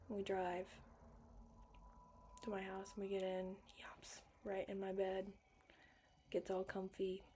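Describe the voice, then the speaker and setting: A young woman telling a personal story in a hushed whisper, frank and full of swearing. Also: mid-range voice, completely flat delivery, slow pace, long pauses, slight background noise.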